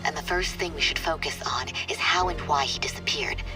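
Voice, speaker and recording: A woman playing a serious, composed defense attorney with a measured, businesslike manner in a video game cutscene. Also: low voice, natural voice. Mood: concerned, determined. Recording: game music under the voice. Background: music.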